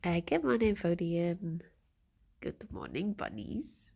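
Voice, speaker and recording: Snuffly woman streamer with a gravelly voice. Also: on the low side, animated, takes it slowly, mellow. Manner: greets viewers by name, giggly. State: snuffly from a cold. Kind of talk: casual chat with live chat.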